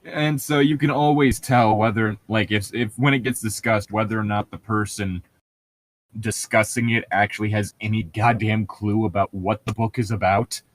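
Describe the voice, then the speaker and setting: A man's voice: lowish voice, fairly even intonation, moderate pace, no echo. A man co-hosting a casual book commentary, swearing freely and rambling through his explanations with plenty of "like". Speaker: podcast co-host reading and critiquing a book.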